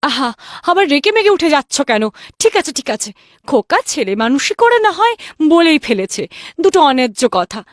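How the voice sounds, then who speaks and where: Woman acting a high-pitched, coaxing cartoon mother. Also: sing-song, brisk, no echo, clean studio sound. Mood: placating. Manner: coaxing, placating. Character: soothing, indulgent housewife and mother defending her son.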